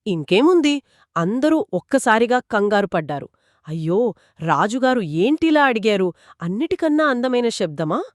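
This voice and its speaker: A woman co-narrating a children's story in a conversational, expressive and engaging style. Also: fairly high pitch, normal speed, no room sound, studio quality. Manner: expressive, engaging, acts out characters' quoted lines.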